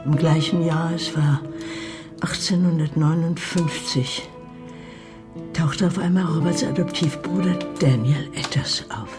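A woman's voice: low-pitched, steady tone, a little slow. A woman playing a bitter, grieving mother in a German Western radio play, recounting her past in a grave, emotional way. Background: music.

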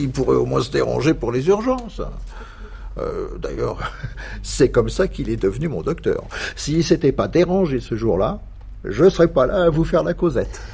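A man's voice: medium pitch, creaky, a little mellow, slightly rough, exaggerated voice. An actor playing a talkative male patient chatting folksily in a waiting room in a radio drama.

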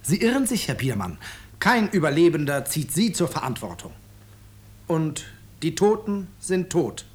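An actor playing an urbane, ironic author who narrates his own story in a 1950s German radio drama, courteous and deliberate. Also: on the high side, sing-song, husky. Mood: suave, ironic.

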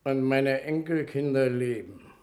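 An actor playing a weary elderly president in a radio drama, speaking in terse, clipped phrases. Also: male voice, medium pitch, measured pace, darkish tone, natural voice. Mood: grimly satisfied. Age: older. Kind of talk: German radio drama acting. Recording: roomy echoing radio play sound.

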